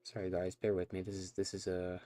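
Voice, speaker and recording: A man's voice: low voice, monotone, fairly quick, mellow. A man with a nasal, stuffy voice, rambling and self-conscious as he chats through a live game stream. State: stuffy nose from allergies.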